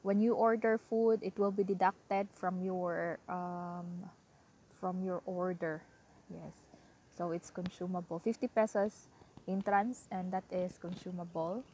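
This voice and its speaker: A woman's voice: lowish voice, level tone, unhurried, slight background noise, rough recording. A young woman vlogging in casual, upbeat chat from an outdoor hilltop campsite, with a tendency to repeat herself. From Philippines. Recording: loud crickets and insects behind.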